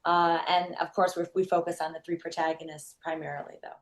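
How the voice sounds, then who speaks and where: A woman's voice: fairly low pitch, level tone, a little fast. A woman filmmaker giving conversational interview answers in an explanatory, emphatic and fluent manner. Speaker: documentary filmmaker, interview guest.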